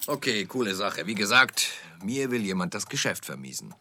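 A man's voice: fairly low pitch, sing-song, moderate pace, sharp tone, heavily acted. An actor playing a brash, slangy concert promoter with worries about his business, in a comic radio play. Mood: worried. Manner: slangy, boastful, rambling.

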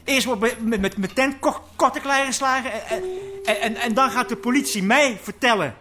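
An actor playing a flustered, evasive man who stammers and halts defensively in a radio drama. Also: very high voice. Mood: outraged. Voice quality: stammering, halting. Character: flustered, aggrieved male builder running a new peepshow.

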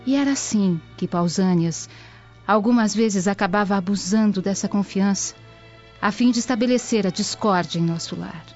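An actress playing a tender, sorrowful young Roman granddaughter in a radio soap, earnest and formal. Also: female voice, medium pitch, normal speed, a little mellow, lightly acted. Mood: confiding. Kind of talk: dramatic radio soap acting. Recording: dull lo-fi, music behind.

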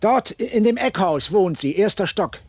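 Halting, anxious, sardonic man. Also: high voice, lively intonation, a little mellow, husky, full character voice. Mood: earnest, worried. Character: anxious, dogged accused man, sardonic, awkward. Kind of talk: radio drama dialogue. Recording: faintly noisy 1980s radio drama.

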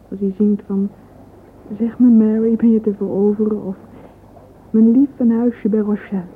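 An actress playing a wistful, sentimental young woman in a dreamy, breathy radio-drama soliloquy. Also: mid-range voice, a little hiss or hum, close to an everyday voice. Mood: fond, nostalgic. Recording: muffled phone-like old radio recording.

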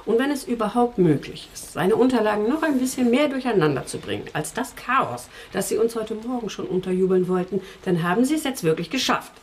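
A woman's voice: medium pitch, expressive, a little fast, hardly any pauses, slight background noise, close to an everyday voice. A woman playing an officious tax clerk. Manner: officious, precise, bureaucratic.

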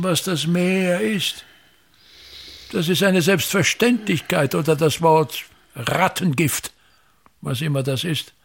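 Casting: overbearing old Austrian stage impresario for radio play, husky and higher-set, grandly declaiming his grievances. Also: fairly bright tone, heavily acted. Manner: declamatory, drawn-out emphatic words, sudden swells and drops. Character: pompous, tyrannical, misogynist old actor-manager, endlessly grumbling. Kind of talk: theatrical radio drama monologue.

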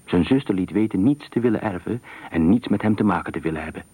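A man narrating a literary radio thriller story in a measured, expressive storytelling manner. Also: low-pitched, husky, no room sound.

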